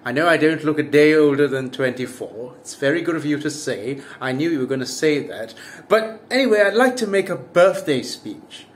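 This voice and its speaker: A man, his voice pitched slightly above the usual for a man. He chats casually to camera as a commentary vlogger. He slips in wry, self-deprecating jokes as he goes. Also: animated, normal speed, a little hiss or hum. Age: 30s. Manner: wry, self-mocking, jokey asides.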